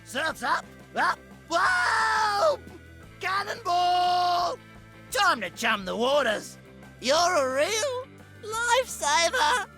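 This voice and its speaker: An actor playing a manic, taunting young male troublemaker in a video game, with a high, sharp voice. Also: sing-song, very slow, soft background music, full character voice. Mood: manic excitement.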